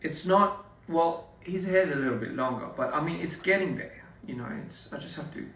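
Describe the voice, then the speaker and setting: A man's voice: a little high, moderate pace, a bit bright, distant mic. A young man, chatting loosely with his viewers on a live stream and reading their comments aloud. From Australia. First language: English. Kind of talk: casual live stream chat. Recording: echoey room, a bit off-mic.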